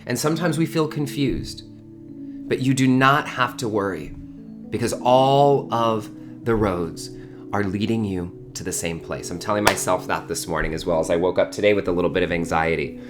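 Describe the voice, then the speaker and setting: A man's voice: fairly low pitch, normal speed. Effusive man in his early thirties, music behind. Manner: effusive, gushing, with repeated words for emphasis. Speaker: host of an online spiritual community gathering. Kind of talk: informal livestream talk to a community.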